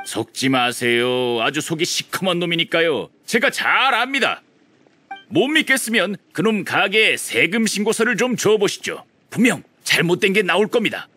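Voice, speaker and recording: Game shopkeeper: envious schemer, theatrical, high-pitched and very animated. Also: male voice, moderate pace, heavily acted. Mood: indignant, envious. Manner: exaggerated, theatrical, swinging between fawning and indignant. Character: grudge-bearing male shopkeeper, excitable, scheming, envious of rival. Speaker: game character voice actor. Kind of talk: animated video game voice acting.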